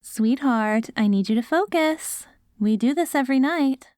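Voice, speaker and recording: Sweet, unhurried doting wife, acted by a woman. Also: high voice, expressive, a little mellow, no room sound, clean studio sound. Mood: patient, encouraging. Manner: sweet, patient, coaxing, caregiver-like. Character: doting wife caring for her ghoul husband after apocalypse. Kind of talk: ASMR roleplay audio drama.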